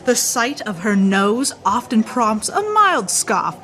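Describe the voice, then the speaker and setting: A woman's voice: fairly high pitch, sing-song, takes it slowly, fairly bright tone, heavily acted. An actress playing a gossipy, dramatic, loyal theatre-going woman in a radio play, declaiming rhymed verse rhythmically. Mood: admiring.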